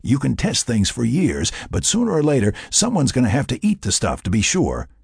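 A man's voice: mid-range voice, huge pitch swings, fairly quick, creaky, breathy edge, dry recording, clean studio sound, pitched above the performer's own voice, exaggerated voice. A man voicing a cocky, hot-headed male settler, folksy and argumentative, in an audiobook. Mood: insistent. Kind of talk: audiobook character dialogue.

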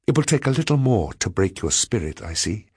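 A man's voice: lowish voice, sing-song, a little slow, a bit bright, husky, no room sound, clean studio sound, heavily acted. A man voicing a sinister, bitter woman who taunts with cold passion in an audiobook story. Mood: vengeful, cold.

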